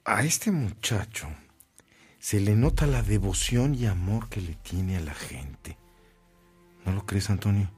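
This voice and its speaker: An actor playing an earnest, devout priest in a radio drama, solemn and sincere, with a Mexican accent. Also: male voice, lowish voice, animated, unhurried, darkish tone, slightly rough, faint music behind, exaggerated voice. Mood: earnest, hopeful.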